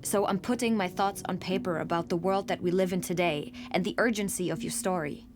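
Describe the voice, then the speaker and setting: A woman's voice: lowish voice, a little fast, background noise. A woman presenting a documentary in reflective scripted narration, written like a personal letter.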